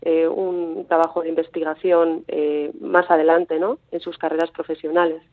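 A woman's voice: sits low, level tone, normal speed. Basque woman with Mexican-tinged accent on the phone. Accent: Mexican-tinged Spanish. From Basque Country. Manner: hesitant, many fillers and restarts. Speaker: coastal researcher guest. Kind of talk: phone interview. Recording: tinny phone line.

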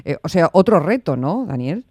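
A man's voice: a little high, very animated, moderate pace, a little mellow, husky, dry recording, studio quality. A man hosting a radio interview, asking brief, friendly questions and giving out listener details. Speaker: radio host.

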